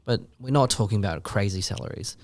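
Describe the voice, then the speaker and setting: A man's voice: on the low side, fast talker, no room sound. A man around forty co-hosting a podcast, talking in a rambling, self-deprecating way and asking long questions. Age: 40s. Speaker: podcast co-host. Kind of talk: casual chat.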